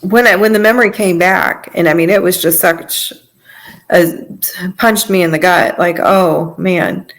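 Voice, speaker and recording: A woman speaking as a podcast guest, giving a reflective, halting personal testimony in a conversational way. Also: lowish voice, steady tone.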